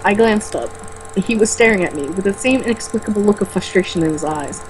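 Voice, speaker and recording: Female amateur reader, informal book narration with occasional stumbles, middle-pitched voice, faint noise behind. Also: lively intonation, fairly quick. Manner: informal, stumbling over words and rereading. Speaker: amateur reader in a comedic read-aloud video. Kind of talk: reading a novel aloud, first-person narration.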